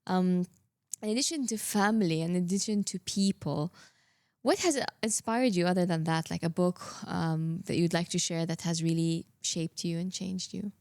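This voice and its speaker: A woman's voice: on the low side, moderate pace, darkish tone, studio quality. A woman from Saudi Arabia hosting a conversational interview, affirming and encouraging, often echoing her guest's words. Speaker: podcast host.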